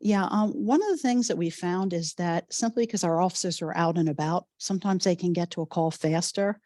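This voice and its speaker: A woman police chief, coming down with a cold, giving a measured, explanatory report at a township meeting. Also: fairly low pitch, mellow, no echo, clean studio sound.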